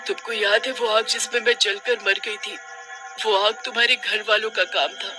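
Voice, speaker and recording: A man's voice: high-pitched, steady tone, moderate pace, music in the background, voice pushed up, close to an everyday voice, poor audio. A man voicing a vengeful female ghost witch, a wronged young wife, in a menacing, gloating way for a cartoon horror story. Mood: aggrieved.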